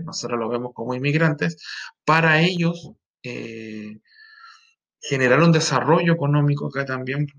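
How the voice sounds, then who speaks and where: A man's voice: fairly high pitch, fairly bright tone. A Chilean man teaching a class, explaining at length with many fillers and repeated checks for understanding. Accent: Chilean Spanish. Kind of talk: recorded class lecture.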